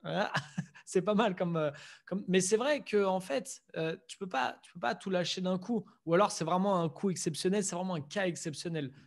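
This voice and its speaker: A man's voice: high-pitched, moderate pace, creaky. A man hosting a podcast who chats casually, with many filler words and agreeing noises. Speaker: podcast host.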